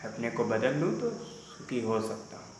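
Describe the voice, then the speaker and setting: A man's voice: mid-range voice, sing-song, close to an everyday voice. Guy doing a sad brooding poet, stage monologue, solemn and formal, super echoey room, bit of insect hiss. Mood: sorrowful. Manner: solemn, deliberate, formal literary diction with dramatic pauses. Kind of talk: Hindi stage drama monologue, audition performance.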